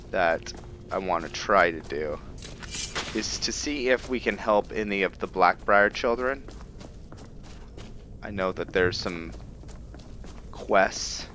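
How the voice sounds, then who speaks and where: Low-voiced, unhurried man doing game commentary. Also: fairly bright tone, slight background noise. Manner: thinking aloud, hesitant searching for words. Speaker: gaming video host. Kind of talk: casual roleplay gameplay commentary.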